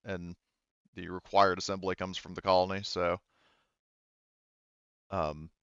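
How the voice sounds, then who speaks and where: A man with a fairly low voice works through a tabletop game scene as its game master. He talks haltingly, composing as he goes. The recording is dry and slightly muffled. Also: level tone, a bit bright, clean tone, no room sound. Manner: halting, thinking aloud. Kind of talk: narrating a tabletop roleplaying game.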